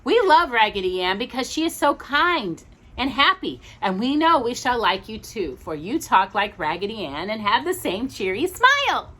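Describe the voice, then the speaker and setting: A woman's voice: fairly high pitch, big pitch swings, moderate pace, sharp tone, full character voice. A woman voicing friendly, welcoming little toy dolls in a storybook, sweet and happy.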